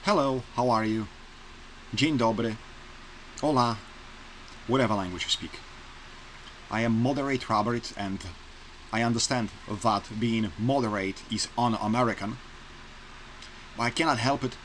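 A man's voice: medium pitch, a little slow, pauses often, a bit bright, a little hiss or hum, low-quality recording. A man in his forties from Poland with a Polish accent, talking earnestly and plainly to his webcam in a small bedroom, repeating his points for emphasis. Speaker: vlogger. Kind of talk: casual opinion vlog to camera. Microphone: webcam close-up.

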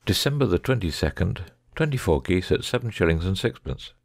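A man playing a shrewd, unruffled Victorian gentleman detective in a dramatic audiobook. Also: deep voice, sing-song, a little fast, creaky, dry recording, clean studio sound, full character voice. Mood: matter-of-fact. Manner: careless, bland, unruffled.

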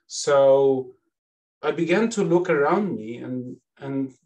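Slow, hesitant man on a video call. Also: medium pitch, expressive, a little mellow, distant mic, poor audio. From Egypt. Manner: hesitant, constant "you know" and restarts. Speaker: panelist, classics lecturer. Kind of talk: unscripted panel talk. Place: home office with bookshelves, indoors. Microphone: headset on a video call.

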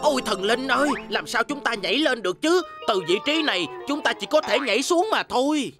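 A man's voice: very high voice, lively intonation, fairly quick. A man playing a whiny, boastful know-it-all sidekick in a children's cartoon dub, complaining and pompous. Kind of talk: Vietnamese-dubbed children's cartoon acting. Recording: loud music behind the voice.

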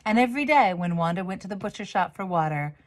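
A middle-aged woman giving an expressive, animated read-aloud of a children's picture book as a storyteller. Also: medium pitch, fast talker. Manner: animated, acts out the dialogue. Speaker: storyteller, reverend.

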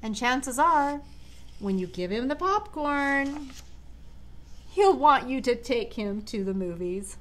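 A woman's voice: high-pitched, animated, slow, low-quality recording. A middle-aged American woman reading a children's storybook aloud, expressively, with chatty asides to young listeners. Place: large living room, indoors. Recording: clear background room noise.

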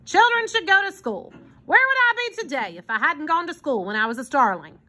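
A woman's voice: high voice, very animated, fairly quick, pushed and bright, pitched above the performer's own voice, exaggerated voice. Woman putting on a blustering old male hobo. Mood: grumbling. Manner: blustering, protesting, colloquial. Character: gruff, grumbling old male hobo with a soft heart.

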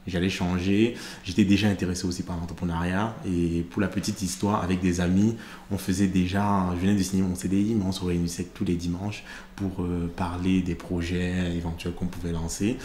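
A deep-voiced man in his thirties, originally from Cameroon, talks through his career in a steady, even tone. He pauses often to gather his thoughts, speaking into a stand mic. The studio sound is a bit roomy, with a faint hiss underneath. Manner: measured, thoughtful, many hesitations. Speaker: podcast host, interviewed guest. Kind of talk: conversational interview. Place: studio set with plants and glass-block wall.

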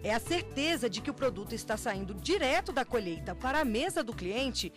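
A Brazilian woman TV reporter reading a scripted news feature in a clear, upbeat way. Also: fairly high pitch, very animated, fairly quick, soft background music. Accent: Brazilian Portuguese.

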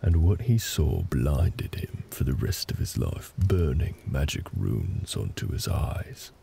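Big tender orc husband, male actor for intimate comfort audio: extremely creaky low growl, unhurried, reassuring storytelling. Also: sing-song, dark tone, a little hiss or hum, full character voice. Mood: calm storytelling. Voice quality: very deep, gravelly. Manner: slow, hesitant, reassuring, conversational.

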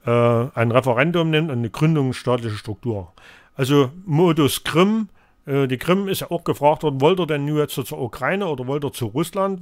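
A man's voice: mid-range voice, no room sound. A man in his fifties with a cold, hosting a live call-in show from a home studio and lecturing in a rambling way with many fillers. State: has a cold, coughing.

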